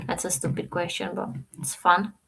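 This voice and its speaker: A woman's voice: on the low side, vocal fry, bright, forceful tone, husky, rough recording. A woman from Romania hosting a trading live stream, chatting casually with viewers and thinking aloud over the charts.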